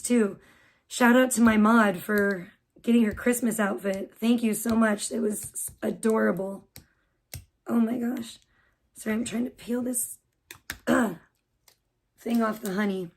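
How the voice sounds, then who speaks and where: A woman live streamer, hoarse and losing her voice, chatting bubbly and rambling with her viewers while making tea in her kitchen. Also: fairly high pitch, darkish tone, a little hiss or hum. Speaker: live streamer greeting chat. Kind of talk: casual live stream chat.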